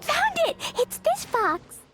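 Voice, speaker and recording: Very high, bubbly little girl. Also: big pitch swings, takes it slowly, a bit bright, full character voice. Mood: excited. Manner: childlike, exclamatory, eager. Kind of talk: anime game voice acting. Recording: game music behind the voice.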